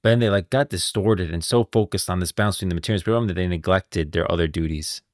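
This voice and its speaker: Deep-voiced man, casual streamer. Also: very fast, no room sound, studio quality. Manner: informal, emphatic, opinionated. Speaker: livestream host. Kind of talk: casual game lore commentary.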